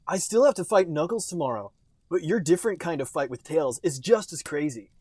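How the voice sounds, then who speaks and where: A man's voice: a little high, animated, fairly quick, a little mellow, slightly rough, dry recording, clean studio sound. A man voicing an aggrieved, combative teenage male cartoon fighter in a theatrical, declaiming way. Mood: exasperated.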